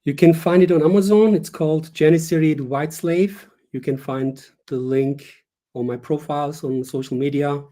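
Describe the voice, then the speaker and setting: A young man speaks over a video call as a guest author. His tone is mellow and dark. He talks in earnest and lays out each point with short pauses. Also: fairly high pitch, steady tone. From Turkey. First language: Turkish. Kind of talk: casual interview over a live stream.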